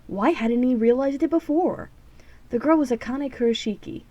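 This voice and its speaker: A woman's voice: high-pitched, normal speed, dark tone, dry recording, muffled recording. A woman hosting a let's play, reading a visual novel aloud with sarcastic, giggly asides and free swearing. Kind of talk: casual, joking read-through with asides.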